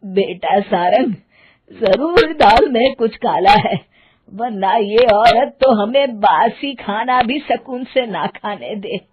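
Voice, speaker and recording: A woman voicing a weary, sardonic elderly village husband and father, grumbling in drawn-out phrases. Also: a little high, animated, lo-fi sound. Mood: suspicious.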